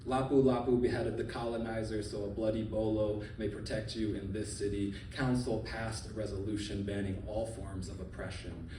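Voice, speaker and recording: A man's voice: on the low side, warm tone, recorded from a distance, slight background noise. A man from the Philippines reading his poems at a live event, with casual joking asides between pieces. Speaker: poet. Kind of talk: live poetry reading with banter. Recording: roomy live venue, off-mic, audience ambience.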